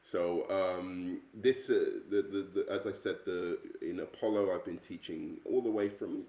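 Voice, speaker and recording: A man's voice: deep voice, steady tone, measured pace, mellow, roomy sound. A man in his thirties from England with an English accent, an English teacher introducing himself in a hesitant, earnest way with many ums and uhs. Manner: earnest, friendly. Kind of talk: self-introduction video.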